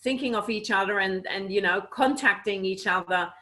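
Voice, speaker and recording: A woman's voice: mid-range voice, moderate pace, pushed and bright. A woman from Switzerland, a guest animal communicator, answering interview questions in an earnest, explaining way with many "you know"s, over a video call.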